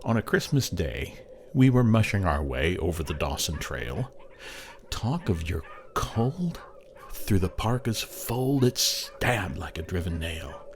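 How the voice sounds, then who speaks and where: Man, dramatic poetry narrator, fairly deep, slow measured delivery, long pauses, light background noise. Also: big pitch swings.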